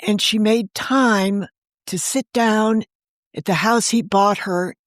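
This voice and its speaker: A woman being interviewed on a podcast, telling a personal story in a warm, fond and gently reflective way. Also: medium pitch, takes it slowly, no room sound, studio quality. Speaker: guest, novelist and podcast creator. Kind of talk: conversational storytelling.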